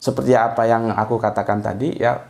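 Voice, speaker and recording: A man's voice: medium pitch, fairly even intonation, brisk, gravelly, darkish tone. Easygoing man, roomy echo. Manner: digressive, explanatory, tags lines with "ya" and "gitu loh"; chuckles now and then. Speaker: Islamic speaker. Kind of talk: casual religious talk with anecdotes.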